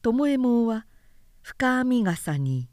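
A woman reading a literary story aloud as a narrator, in a measured and deliberate way. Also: fairly high pitch, very animated, a little mellow, no room sound, studio quality.

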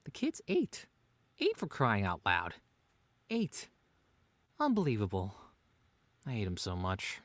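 A man's voice: fairly low pitch, wildly swooping pitch, takes it slowly, long pauses, fairly bright tone, no room sound, full character voice. A man playing a playfully bickering, doting stay-at-home dad in an audio drama, wry but earnest. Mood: indignant. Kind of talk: two-hander audio drama reading.

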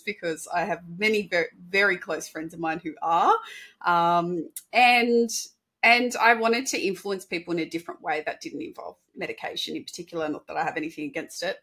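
Australian woman guest, chatty and animated, low-pitched, bright tone. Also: husky. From Sydney, Australia. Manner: chatty, animated, with laughs and restarts. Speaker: dietitian, podcast guest. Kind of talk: casual interview talk.

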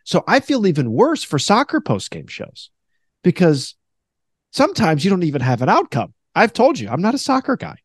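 A man with a deep voice hosting a casual podcast, animated and quick to mock radio announcers in a high voice. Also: slightly rough, dry recording, clean studio sound. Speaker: podcast host; former radio host.

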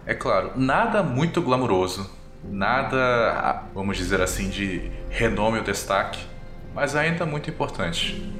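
A man with a Brazilian accent improvising the narration of a tabletop game as game master, descriptive and a little halting. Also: on the low side, expressive. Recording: echoey room, music underneath. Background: music.

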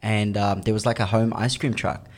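A man's voice: lowish voice, steady tone, moderate pace. A man interviewing on a podcast in casual chat, informal and prompting with questions.

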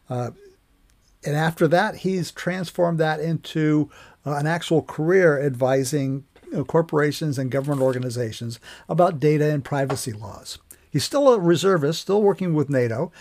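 The older guy who opens a tech podcast each week, talking informally and haltingly in a slightly raised register as he introduces an interview. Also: on the high side, gravelly, a little mellow.

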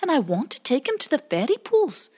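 A woman's voice: high voice, huge pitch swings, a little fast, a little mellow, pitched above the performer's own voice. A woman voicing a baby male otter in a storybook, eager and earnest.

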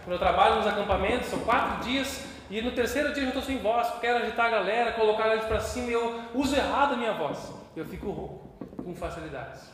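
A Brazilian man preaching a sermon with passion, moving between hushed and loud. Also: high-pitched, fast, a little hiss or hum. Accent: Brazilian Portuguese. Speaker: pastor. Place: church hall. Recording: echoey church hall with long reverb.

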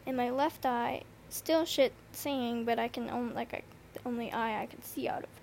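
High-voiced teen girl with a slight lisp. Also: fairly even intonation, moderate pace, gravelly, a little mellow, slight background noise. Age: teens. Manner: rambling, swears often, lots of fillers. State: tired from lack of sleep. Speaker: podcast host. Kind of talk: casual solo podcast chat. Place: noisy bedroom. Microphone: earbud microphone.

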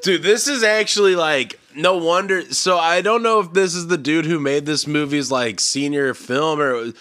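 A man's voice: fairly high pitch, moderate pace, a bit bright, no room sound. A young man hosting a podcast, talking in crude, blunt, mocking casual banter. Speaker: podcast host. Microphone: studio podcast mic.

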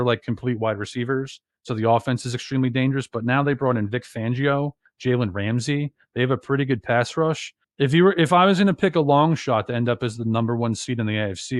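A man from New Jersey with a loud, booming bass voice, co-hosting a casual sports podcast. He rambles and restarts his sentences, padding them with "you know." The sound is dry and close, with no room echo. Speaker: podcast co-host.

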